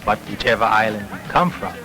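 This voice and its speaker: A man from the Caribbean giving steady, measured scripted narration for a documentary. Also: fairly low pitch, rough recording. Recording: narration over loud music. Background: music.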